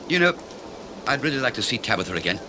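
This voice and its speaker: An actor playing a restless, grumbling middle-aged writer in a radio play, blustery and thinking aloud. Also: male voice, medium pitch, very animated, quick pace, natural voice. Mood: restless, brusque. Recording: noisy outdoor sound effects behind.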